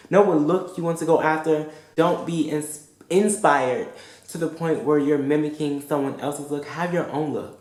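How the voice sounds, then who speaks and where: A woman's voice: sits low, a little mellow. Frank, profane woman vlogging in an echoey room. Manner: frank, profane, emphatic, repeats pleas. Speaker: vlogger giving advice. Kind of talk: casual direct-to-camera advice vlog.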